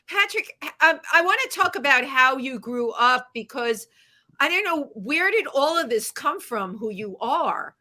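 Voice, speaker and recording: A woman co-hosts a relaxed talk show with a high, bright voice. She keeps nudging her guest along with warm, affirming interjections and quick follow-up questions. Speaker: co-host. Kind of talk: casual interview chat.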